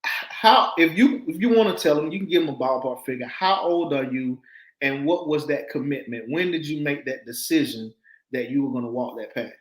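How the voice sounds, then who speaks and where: A man's voice: on the high side, fairly quick. A man hosts a motivational interview show with a slightly raised voice, talking eagerly, doubling back on words and stumbling as he pushes his questions. His voice rings with the echo of the room around him. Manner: enthusiastic, repeats words, stumbles and restarts. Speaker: show host and coach. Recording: echoey room.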